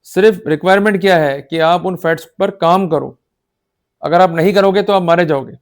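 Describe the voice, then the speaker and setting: Indian male law lecturer, slightly high voice, emphatic and exhorting teacher who keeps checking understanding. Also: normal speed. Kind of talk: instructional law lecture in Hindi-English mix.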